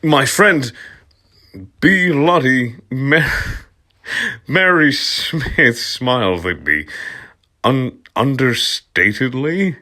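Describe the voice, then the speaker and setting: A man reading fan fiction aloud in a dramatic comic style, sardonic and theatrical, dropping into murmurs and rising into loud outbursts. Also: mid-range voice, expressive, very slow, pauses often.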